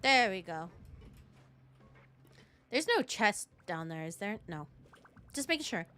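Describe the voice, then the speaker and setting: A woman's voice: mid-range voice, very animated, takes it slowly, sharp tone, soft background music. A woman giving casual, playful commentary as she streams a game, reacting and joking.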